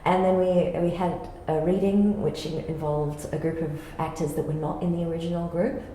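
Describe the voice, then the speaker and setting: A woman's voice: on the low side, steady tone, a little fast, mellow. A woman explaining a creative process as a theatre director, thoughtful and informal. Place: reverberant indoor room. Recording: very echoey room, faint background noise.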